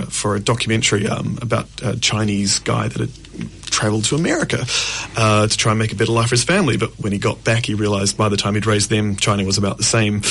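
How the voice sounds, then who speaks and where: A man's voice: medium pitch, a bit bright. A man in his thirties, a guest musician on a radio show, telling rambling stories and laughing often. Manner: rambling storyteller, laughs often, quotes others. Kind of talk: casual radio interview.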